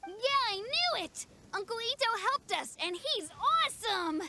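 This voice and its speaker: Eager, very high-pitched little boy. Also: sing-song, moderate pace, bright, forceful tone, a little hiss or hum, full character voice. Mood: excited. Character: eager young boy who adores his uncle. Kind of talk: video game voice acting. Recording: music behind the voice.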